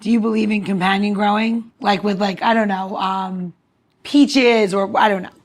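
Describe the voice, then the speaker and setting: A woman's voice: medium pitch, fairly even intonation, normal speed. A woman co-hosting a podcast, chatting in an effusive, very informal way. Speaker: podcast co-host. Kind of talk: casual chat.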